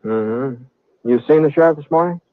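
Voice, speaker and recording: An American actor playing a mild-mannered, thoughtful cowboy in an old-time radio western, speaking in a halting, folksy way. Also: male voice, a little high, dry recording, close to an everyday voice. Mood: mild, curious. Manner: hesitant, halting, folksy drawl. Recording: dry, muffled old radio recording.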